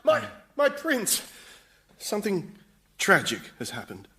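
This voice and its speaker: An actor playing an obsequious, scheming demon servant, florid and melodramatic, in radio sitcom style. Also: male voice, high voice, huge pitch swings, takes it slowly, sharp tone, heavily acted. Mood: fawning alarm.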